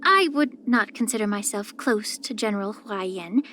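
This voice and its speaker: A woman voicing a poised, soft-spoken, subtly sly young female healer in a video game, with measured, gentle, composed delivery. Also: mid-range voice, lively intonation, slight background noise, lightly acted. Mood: calm, knowing. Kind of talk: scripted character voice lines.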